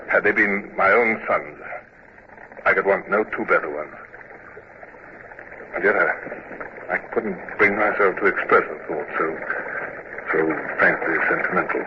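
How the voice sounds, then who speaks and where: An actor playing a reserved naval commodore who narrates his own sea story in 1940s radio drama style, measured and formal. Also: lowish voice. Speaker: narrator and lead character. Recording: muffled old radio recording with hiss.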